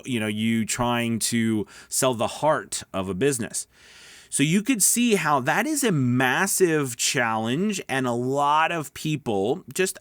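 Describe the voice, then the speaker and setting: A man with a medium-pitched voice gives casual instruction as a coach and host. His delivery is conversational and emphatic, with frequent little check-ins to the listener. The sound is dry, with very little room in it. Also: fairly bright tone, dry recording. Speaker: voice-over coach and video host. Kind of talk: casual instructional talk to followers.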